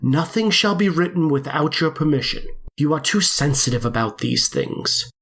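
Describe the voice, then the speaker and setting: An actor playing a formal, calm doctor who speaks in careful, measured sentences. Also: male voice, on the high side, a little hiss or hum. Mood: soothing. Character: calm, measured country doctor, middle-aged man.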